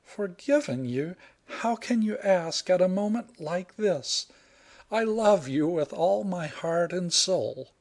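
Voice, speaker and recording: A man reading aloud and voicing an emotional young woman, trapped by the tide and later indignant, in a high, strained voice. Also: expressive, slow, a little mellow, heavily acted. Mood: frightened. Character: anxious excitable young woman in peril.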